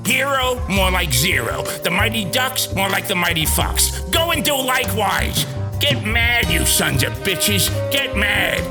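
A man's voice: high voice, very animated, a little slow, over loud music, voice pushed up, exaggerated voice. A man voicing an abusive, boastful male movie critic who brags about his puns. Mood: abusive boasting. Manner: abusive, boastful, shouting insults. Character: bullying male pun-making movie critic.

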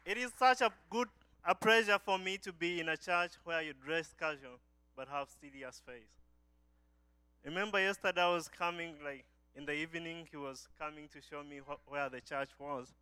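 A man's voice: fairly high pitch, moderate pace, bright, forceful tone, clear, resonant tone, no echo. A young man from Uganda giving an earnest church testimony as a guest speaker, often restarting his phrases.

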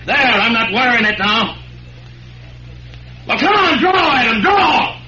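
An actor playing a stern, plain-spoken American frontier marshal, firm and terse, in old radio western style. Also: male voice, very high voice, very animated, takes it slowly, exaggerated voice. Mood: defiant fury. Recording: hissy, muffled vintage radio recording.

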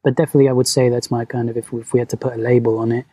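Soft-spoken husky man. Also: mid-range voice, level tone, very fast. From Venezuela, raised in London. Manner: soft-spoken, hesitant, reflective. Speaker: guest, movement coach. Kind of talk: podcast conversation.

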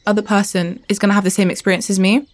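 A woman's voice: medium pitch, flat delivery, rapid-fire. A British woman from a podcast group, chatting enthusiastically and thinking aloud. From Britain. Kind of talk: casual reflective chat.

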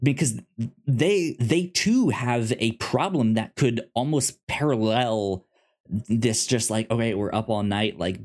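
A man talks as a podcast co-host in a loose, conversational way. His voice is medium in pitch. He often stammers, repeating syllables and short words before he gets a phrase out. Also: takes it slowly, darkish tone. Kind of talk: casual discussion of a TV series.

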